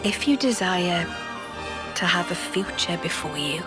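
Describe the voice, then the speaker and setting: A regal video game queen, wise and cryptic. She speaks formally in a measured, solemn voice of medium pitch, over faint music. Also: animated.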